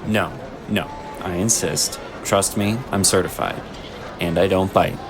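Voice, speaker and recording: A man playing a strong, kindly, easygoing elite guardian in a fantasy audio drama, gently insistent and reassuring. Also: deep voice, steady tone, unhurried. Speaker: voice actor. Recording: noisy outdoor city ambience behind.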